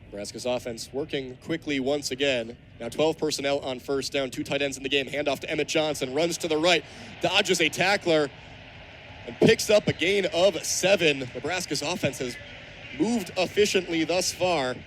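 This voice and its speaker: A man's voice: on the high side, normal speed, fairly bright tone. A man in his twenties with an American accent, calling live football play-by-play on the radio. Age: 20s. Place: outdoor college football stadium. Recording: outdoor stadium broadcast, ambient background noise.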